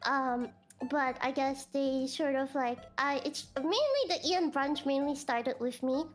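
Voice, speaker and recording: A woman's voice: high voice, expressive, unhurried, soft background music. A woman chatting casually on a stream, rambling with many fillers and restarts and often breaking into giggles. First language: not Japanese. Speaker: VTuber on a collab stream. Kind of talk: casual chat answering viewer questions.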